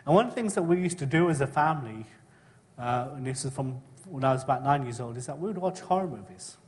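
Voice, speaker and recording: A middle-aged man who was born in Kenya and raised in England, preaching a sermon about his own testimony in a conversational way, often asking his listeners tag questions and clearing his throat. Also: mid-range voice, animated, quick pace, big-room sound. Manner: conversational, asks the congregation frequent tag questions.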